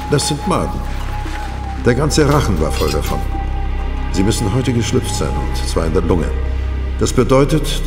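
Wry pathologist, lively and echoey. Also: male voice, lowish voice, creaky. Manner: theatrical, savoring explanations. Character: wry, relishing male forensic pathologist. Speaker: actor in an audio drama. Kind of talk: German crime audio drama acting. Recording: echoey hall, loud music behind.

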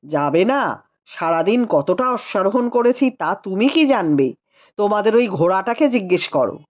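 A woman's voice: medium pitch, big pitch swings, moderate pace, muffled recording, voice pushed down, lightly acted. A woman voicing a learned, caring husband with a formal, questioning manner in a storybook reading. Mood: tired, teasing. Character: learned physician husband, adult man, caring, puzzled.

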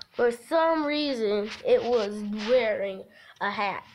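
A woman's voice: on the high side, expressive, drawn-out words, a little hiss or hum, low-quality recording. A giggly woman hosting a casual game livestream, chatting and reading aloud from a visual novel. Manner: giggly, breaks off into laughter. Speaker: livestream host.

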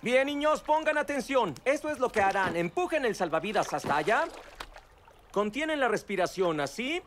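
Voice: medium pitch, sing-song, moderate pace, noisy background, natural voice. An actor voicing an upbeat grown-up rabbit swimming instructor with a Latin American accent, encouraging and instructing in a cartoon dub. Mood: brisk, cheerful.

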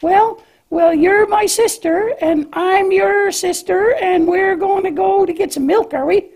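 A woman's voice: very high voice, unhurried, a little mellow. A woman voicing a cheerful, naive young lamb in a children's church puppet show, hesitant and questioning in a childlike way. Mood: curious.

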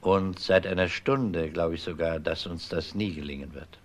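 An actor playing a persistent, no-nonsense police detective with a probing, matter-of-fact manner in a crime radio drama. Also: male voice, deep voice, normal speed. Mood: sober, matter-of-fact.

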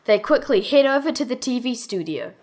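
A woman's voice: a little high, expressive, fairly quick, darkish tone. A woman giving scripted storybook-style narration of a cartoon adventure. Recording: music behind the voice.

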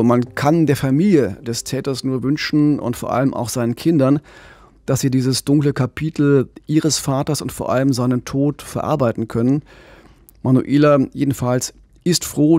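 A man in his forties co-hosting a true-crime podcast, explaining the case in a calm, measured way into a studio microphone. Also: mid-range voice, no echo. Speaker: podcast co-host. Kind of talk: scripted true-crime narration. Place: small radio studio. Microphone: studio condenser mic with pop filter, headphones.

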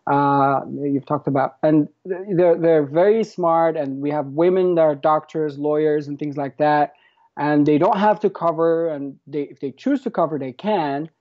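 A man in his thirties with a Moroccan accent, answering in a conversational interview, explaining haltingly and repeating words as he goes. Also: on the high side, dark tone, dull audio. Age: 30s. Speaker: podcast guest, activist.

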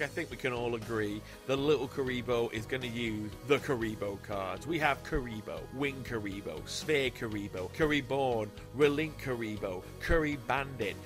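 A man hosting a casual video about building a card deck, chatty and enthusiastic as he runs through card names. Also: medium pitch. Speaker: YouTube host.

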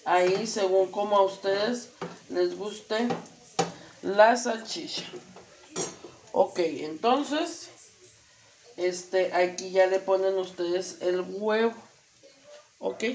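Slow chatty Mexican woman cooking. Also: mid-range voice, darkish tone, slight background noise. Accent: Mexican Spanish. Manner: slow, chatty, many fillers. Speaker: home cooking vlogger. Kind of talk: casual cooking demonstration. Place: home kitchen, indoors. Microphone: camera across the stove.

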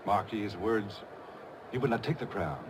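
An actor playing an earnest, observant Roman citizen in a crowd, in a 1950s Shakespeare film. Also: male voice, lowish voice, expressive, moderate pace, natural voice. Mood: earnest, persuaded. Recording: noisy old film soundtrack.

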